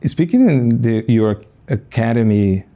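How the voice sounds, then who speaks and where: A man with a dark, gravelly voice hosts the chat. He speaks English slowly, pausing often and restarting words as he looks for them. The pitch sits fairly low. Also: expressive, muffled recording. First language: Portuguese. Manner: halting, many restarts and fillers. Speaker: podcast host. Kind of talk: casual interview chat.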